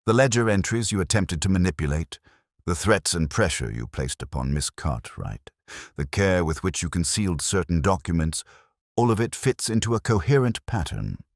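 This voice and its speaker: A man voicing a calm, methodical police inspector in a mystery story, soft-spoken, formal and even. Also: sits low, normal speed, no echo, clean studio sound, heavily acted. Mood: formal, firm. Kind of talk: storybook detective dialogue.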